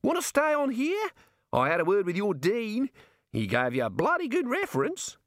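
A friendly, blunt fellow from a storybook, as a male narrator voices him. His talk is matey and generous, pitched well up with big swings. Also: wildly swooping pitch, normal speed, dry recording, studio quality, exaggerated voice.

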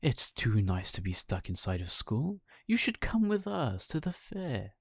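A man voicing a sly, persuasive fox who coaxes smoothly in a children's bedtime story. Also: mid-range voice, big pitch swings, a little slow, no echo, studio quality, full character voice. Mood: coaxing. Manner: persuasive, coaxing.

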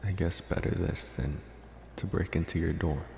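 A man playing a polite, infatuated, mysterious vampire in an ASMR roleplay, talking slowly and reassuringly with hesitant pauses. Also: low-pitched, fairly even intonation, vocal fry, dark tone, roomy sound, big-room sound, a little hiss or hum. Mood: calm, reassuring. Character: soft-spoken male college-age vampire, politely infatuated, mysterious.

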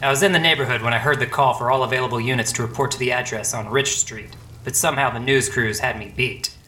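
Man acting a hard-boiled noir detective, bright and forceful. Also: mid-range voice, fast talker, echoey room, slight background noise, natural voice. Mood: brooding. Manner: pompous, wordy, deadpan. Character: hard-boiled male noir police detective, weary, melodramatic, wry. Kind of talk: parody noir radio play with monologue narration.

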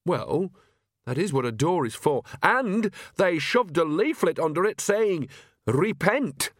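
A sensible young witch who talks reasonably and mildly, voiced by a man. The voice sits higher than his own and is recorded close and dry. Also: very animated, slow, no room sound, exaggerated voice. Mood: reasonable.

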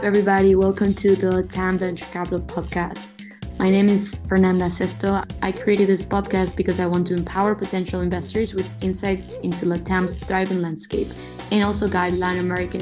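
A woman's voice: lowish voice, level tone, a little fast, over music. A woman hosting an interview podcast. She introduces the show and her guests in an upbeat, eager way. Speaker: podcast host.

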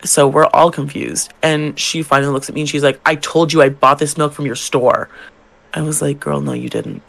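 Gravelly young man telling retail stories. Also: a little high, normal speed. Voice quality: gravelly, feminine-leaning voice. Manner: animated, swearing, acts out customers' lines. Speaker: podcast co-host, drag queen. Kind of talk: casual storytelling chat.

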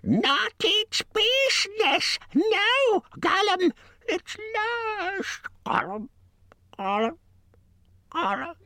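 A man voicing a wretched, spiteful cave creature for a storybook, with a strangled, hissing voice and drawn-out, repetitive muttering. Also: very high voice, big pitch swings, bright, forceful tone, dry recording, pitched above the performer's own voice, heavily acted. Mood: shrieking, distraught. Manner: drawn-out, repetitive, muttering to himself in odd grammar.

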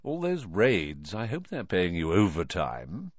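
A man's voice: low-pitched, wildly swooping pitch, measured pace, no echo, exaggerated voice. A man reading an audiobook, voicing a cold, sneering aristocratic wizard father with a silky, contemptuous tone. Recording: dull lo-fi dry recording.

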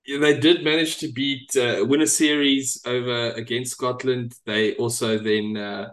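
A man's voice: medium pitch, steady tone, unhurried, a bit bright. A man from South Africa co-hosting a casual sports chat, talking loosely with fillers and checking in with "right?". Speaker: podcast co-host.

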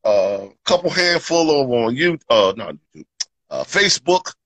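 Guy hosting a live podcast, bright sharp voice, talks loose and upbeat, dry close mic. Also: medium pitch, big pitch swings, moderate pace, no room sound. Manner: upbeat, filler-heavy, repeats "you know what I'm saying". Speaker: podcast host. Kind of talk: casual live podcast chat. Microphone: podcast microphone.

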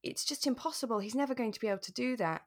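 A woman co-hosting a podcast, talking through a radio drama in a relaxed, thoughtful, explanatory way. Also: on the high side, racing. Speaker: podcast co-host.

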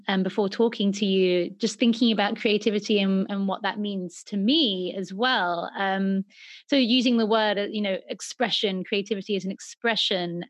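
A woman hosting a podcast, interviewing a friend over a video call with some hesitant fillers. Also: mid-range voice. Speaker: podcast host. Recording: lo-fi call audio.

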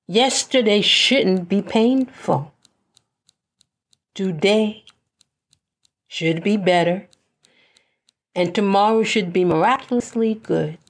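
A woman delivering a scripted spoken-word monologue in a deliberate, measured way, with pauses between phrases. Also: mid-range voice. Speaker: podcast host.

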